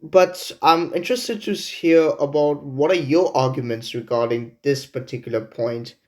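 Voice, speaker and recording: A teenage student from India hosting a discussion podcast, talking in a measured way with frequent pauses and fillers. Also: male voice, on the high side, darkish tone. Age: teens. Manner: measured, with many hesitations. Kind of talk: casual discussion.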